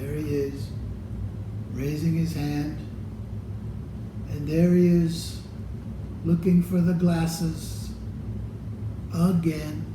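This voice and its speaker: A man reading a children's picture book aloud expressively, with deliberate phrasing and pauses. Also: on the high side, level tone, roomy sound, noisy background. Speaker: storybook reader.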